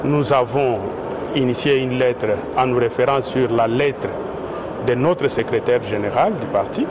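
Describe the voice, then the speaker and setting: A man speaking as a party official in a street interview, in a measured way with frequent hesitations. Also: medium pitch. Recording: loud traffic noise outdoors.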